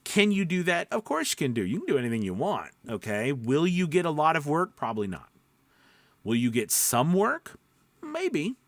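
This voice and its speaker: A man's voice: a little high, huge pitch swings, moderate pace, dry recording. A man coaching in an informal, conversational way, emphatic and rambling, often checking in with short questions. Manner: rambling, self-interrupting. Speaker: voice-over coach and mentor.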